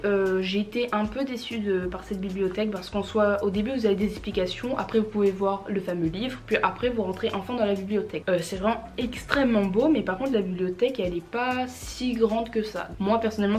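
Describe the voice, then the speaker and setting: A woman's voice: medium pitch, a little mellow. Chatty young woman, hesitant vlogger. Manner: chatty, hesitant, many fillers and self-corrections; informal and a little crude. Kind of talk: casual travel recap vlog.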